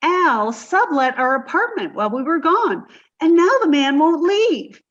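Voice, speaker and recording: A woman's voice: sits high, big pitch swings, measured pace, fairly bright tone, highs cut off, heavily acted. A woman playing a sweet, scatterbrained young secretary in a 1950s-style radio comedy, with a breathless, dizzy, sing-song delivery. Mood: cheerful, dizzy.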